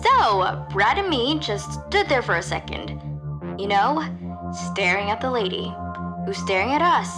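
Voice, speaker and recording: A young woman playing a nervous high school girl who tells her story in a casual, hesitant way, as a confessional audio drama monologue. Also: big pitch swings, a little slow, over music. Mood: anxious.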